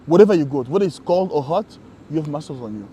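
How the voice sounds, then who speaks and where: A man co-hosting a casual conversation, reflective and halting, often restarting his sentences. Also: a little high, animated, dark tone, slight background noise. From Congo. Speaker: co-host.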